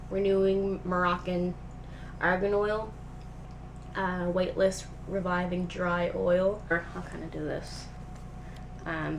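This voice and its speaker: Young woman vlogging, stuffed-up nasal voice from a cold, rambly, lots of um and like, noisy background hiss. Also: lowish voice. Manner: rambling, filler-heavy, chatty. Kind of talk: casual chat. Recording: steady background noise.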